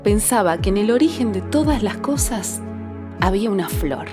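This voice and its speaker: A woman's voice: medium pitch, lively intonation, normal speed. A woman reading a poetic, reflective voice-over narration. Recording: voice-over over clear music.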